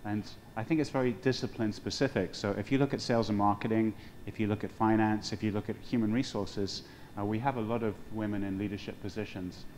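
Measured middle-aged man on a panel. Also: lowish voice, fairly even intonation, a little mellow, slight background noise. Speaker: hotel general manager, panelist. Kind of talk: conference panel discussion. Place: large conference hall stage. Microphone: lapel mic.

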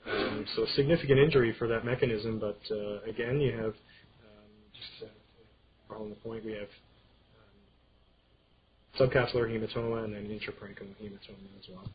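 A man giving an informal medical slide lecture, explaining things in a halting way. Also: lowish voice, fairly even intonation. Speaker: radiology lecturer. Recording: dull screen-capture audio with clear background noise.

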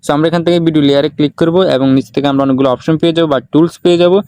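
A man's voice: on the high side, fairly even intonation, very fast, dark tone, smooth, dry recording. A man narrating a step-by-step tutorial, explaining repetitively and mixing in English words. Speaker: tutorial host.

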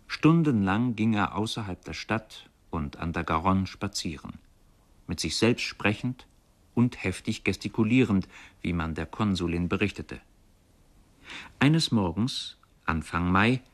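A man narrating literary prose and reciting poetry in a measured, formal manner for radio. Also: lowish voice. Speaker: radio feature speaker.

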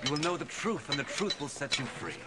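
An actor in his thirties playing a calm, authoritative holy teacher in a biblical film, speaking deliberately and earnestly. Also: fairly high pitch, heavy background noise, lightly acted. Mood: impassioned, proclaiming. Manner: deliberate, declarative.

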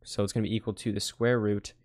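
A man's voice: lowish voice, flat delivery, fast talker, a little mellow. A young man casually talking through a physics problem step by step on a digital whiteboard, often checking in with "right?" and correcting himself. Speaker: tutor.

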